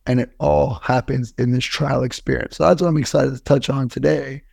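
A man with a hoarse, scratchy voice, co-hosting a podcast and giving upbeat, coach-like motivational talk. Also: medium pitch, steady tone, fairly quick, darkish tone, no echo, clean studio sound. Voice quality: scratchy, gravelly. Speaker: podcast co-host.

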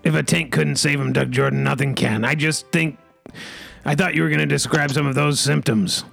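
A man's voice: on the high side, fairly even intonation, moderate pace, vocal fry, fairly bright tone, faint music behind. A man hosting an improvised comedy podcast, rambling in a mock-pompous way with folksy catchphrases.

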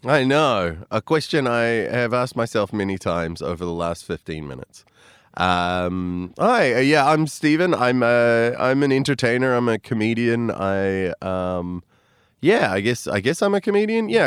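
A man's voice: medium pitch, clean tone, dry recording, studio quality. A man, a comedian chatting as a podcast guest, rambling and self-deprecating with lots of fillers. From New Zealand. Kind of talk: casual interview chat.

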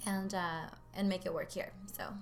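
A woman's voice: lowish voice, flat delivery, moderate pace, vocal fry. A woman in her twenties from Norway, chatting casually as an interview guest, with lots of fillers. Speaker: guest, local singer.

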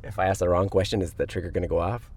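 A man's voice: low-pitched, rapid-fire, a little mellow. A man hosting an interview show, putting questions in a casual, conversational way. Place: inside a tour van.

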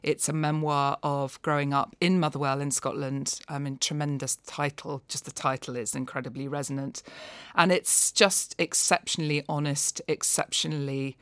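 A woman's voice: sits low, steady tone, normal speed, hardly any pauses, a bit bright, smooth, no echo. A woman giving a conversational, articulate and discursive review of books as an expert guest. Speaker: non-fiction books expert, podcast guest.

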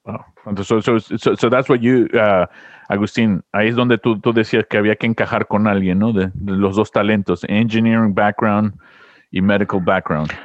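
A man hosting an interview podcast, talking in a halting way and often restarting his words. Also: fairly low pitch, fairly even intonation, no room sound, lo-fi sound. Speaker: podcast host. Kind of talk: casual interview chat.

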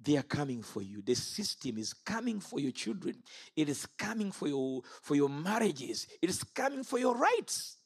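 A man's voice: on the high side, big pitch swings, moderate pace, slightly rough. A man in his fifties from Uganda preaching an impassioned sermon. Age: 50s. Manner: impassioned, rises and falls sharply.

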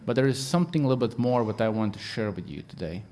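A man with a Latvian accent, Latvian his first language, preaching a sermon as a guest in halting English, earnest and full of fillers. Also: lowish voice, very animated, darkish tone.

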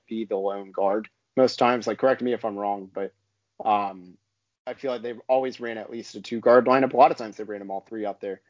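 A man's voice: on the low side, dry recording. A man co-hosting a casual basketball podcast, talking in a conversational way full of "I mean" and "like". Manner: conversational, many fillers like "I mean" and "like". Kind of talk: casual sports analysis chat. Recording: dry, slightly dull lo-fi audio.

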